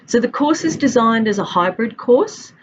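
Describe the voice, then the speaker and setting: A woman's voice: fairly high pitch, expressive, normal speed, slight background noise. Australian woman lecturer, dull webcam audio. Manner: friendly, conversational, stretches out listed items. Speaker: university instructor. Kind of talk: recorded course introduction. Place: office with a large window. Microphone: webcam at desk.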